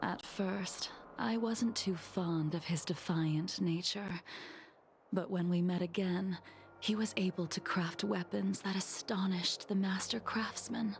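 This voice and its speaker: A woman playing a cold, ancient swordswoman in a video game, speaking softly and formally with a breathy edge. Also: medium pitch, a little hiss or hum. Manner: slow, measured, formal, cryptic. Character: cold, ancient swordswoman reminiscing about fallen comrades. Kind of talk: scripted dramatic video game dialogue.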